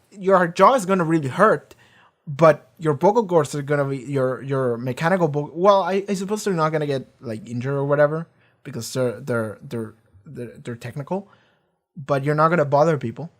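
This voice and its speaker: A reaction video host in a casual, rambling discussion, often talking loudly, swearing freely and filling pauses with lots of "like" and "um". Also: medium pitch, animated. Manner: swears freely, many fillers and restarts; often talks loud.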